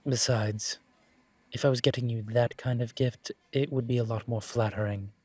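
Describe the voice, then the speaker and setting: A man playing a suave incubus demon professor in a roleplay audio drama, teasing and protective, with a wry, measured delivery. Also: medium pitch, steady tone, dry recording, close to an everyday voice. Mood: teasing, charmed.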